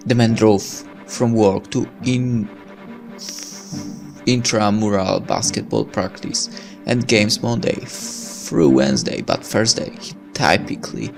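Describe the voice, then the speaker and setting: A man's voice: fairly low pitch, gravelly. A man doing a let's play, reading a visual novel's story aloud dramatically with casual asides. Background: game music.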